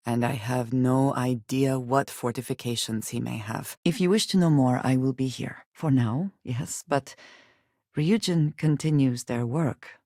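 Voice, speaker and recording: Low, guarded space explorer, dry sound. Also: female voice, warm tone, no echo, full character voice. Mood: cautious. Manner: measured, formal diction with few contractions. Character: guarded, serious, formal woman space explorer companion. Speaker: video game voice actress. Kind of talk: video game character dialogue.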